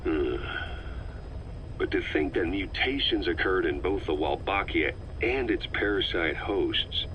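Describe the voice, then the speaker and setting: Deep, blunt military commander. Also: male voice, lively intonation, unhurried, dull audio, a little hiss or hum. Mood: doubtful, pondering. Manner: blunt, terse, questioning. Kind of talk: video game cassette-tape dialogue.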